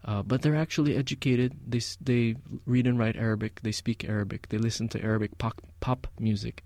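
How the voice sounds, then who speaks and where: A man of Palestinian background, a guest in an interview, telling stories in a measured, reflective way with frequent fillers. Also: medium pitch, fairly even intonation, a little mellow, no echo. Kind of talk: conversational interview.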